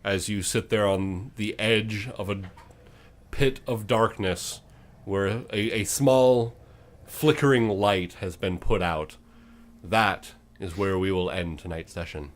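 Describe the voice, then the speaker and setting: A man narrating a tabletop game dramatically as game master, with deliberate, suspenseful phrasing. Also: fairly low pitch. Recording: clear background noise and ambience.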